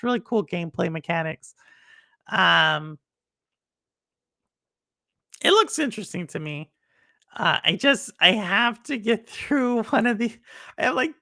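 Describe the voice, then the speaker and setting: Texan lady streamer chatting w her viewers, kinda high voice, dry close mic. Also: bright, forceful tone, no echo. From Texas. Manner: chatty, laughing, reads chat aloud. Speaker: streamer host. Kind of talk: casual live-stream chat.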